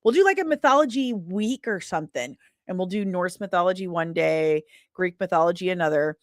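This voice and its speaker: A woman hosting a casual livestream, talking in an animated, rambling and self-deprecating way. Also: lowish voice, brisk, no room sound, studio quality. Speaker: livestream host.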